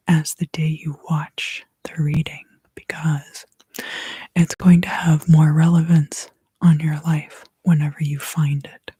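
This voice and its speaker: A woman giving an ASMR tarot reading in a hushed, breathy whisper, gently and with pauses. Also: low-pitched, dry recording. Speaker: tarot reader and host.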